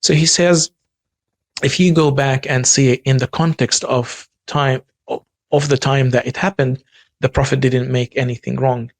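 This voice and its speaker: A man's voice: medium pitch, steady tone, moderate pace, no echo. A man from Egypt with an Egyptian accent, a native Arabic speaker, talking as an interview guest with frequent restarts. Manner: frequent restarts and repeated words, earnest. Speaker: guest, human rights activist. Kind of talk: interview conversation.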